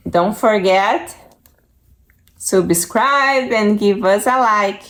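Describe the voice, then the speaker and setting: A woman hosting a read-aloud channel, talking to children in a slow storytime style. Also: medium pitch, a bit bright. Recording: faint background noise.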